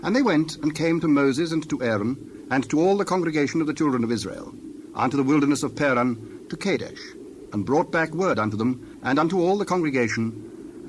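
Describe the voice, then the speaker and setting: A man narrating scripture from a script in a solemn, deliberate storytelling manner. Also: fairly high pitch, expressive. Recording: clear background noise with birds.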